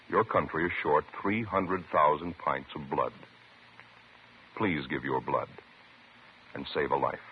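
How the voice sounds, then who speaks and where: A fairly low American male announcer speaks in formal vintage radio style. His measured, emphatic reading swings widely in pitch, and the old recording sounds muffled. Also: slight background noise.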